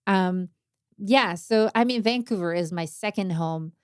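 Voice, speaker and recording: A woman's voice: lowish voice, unhurried, clear, resonant tone, dry recording, clean studio sound. A woman from Korea, a native Korean speaker, chatting casually in an interview as a jazz drummer, with many fillers and non-native phrasing.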